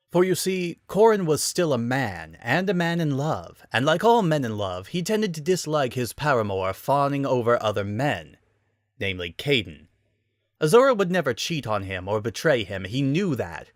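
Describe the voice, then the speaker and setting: Male narrator, medium pitch, scripted dramatic storytelling, expressive, wry, dry recording. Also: dry recording.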